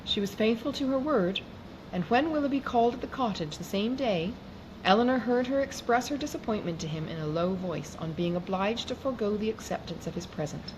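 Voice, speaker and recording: A woman narrating a classic novel in a measured, expressive reading. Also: mid-range voice. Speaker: audiobook reader. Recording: noisy background hiss.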